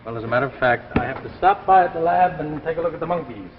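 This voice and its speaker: An actor playing a preoccupied, driven scientist husband in a 1950s radio drama, emphatic and halting, repeating himself insistently. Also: male voice, a little high, lightly acted. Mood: impatient, instructing. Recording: muffled, noisy 1950s radio recording, reverberant.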